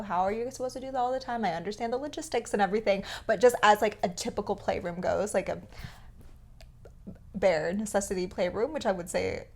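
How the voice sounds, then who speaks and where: A woman's voice: mid-range voice, a little mellow. Agreeable woman podcaster, native Vietnamese speaker. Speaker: podcast co-host. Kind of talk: casual podcast chat.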